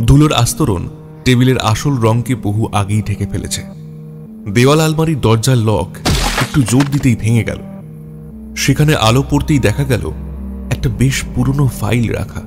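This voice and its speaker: Male story narrator over soft music. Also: mid-range voice. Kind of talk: scripted detective story narration. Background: background music.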